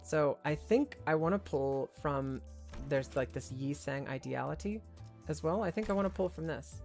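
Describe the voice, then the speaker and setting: A male streamer with a hoarse, tired voice, rambling and thinking aloud as he chats casually while playing a game. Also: fairly high pitch, normal speed, darkish tone, soft background music. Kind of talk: casual gameplay commentary.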